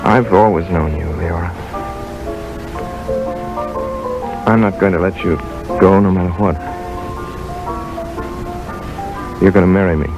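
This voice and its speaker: An actor playing an earnest, awkward, hot-headed young medical student in a 1930s radio drama. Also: male voice, low voice, fairly even intonation, quick pace, creaky, lo-fi sound, music in the background. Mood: tender, determined. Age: young adult. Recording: old 1930s radio broadcast.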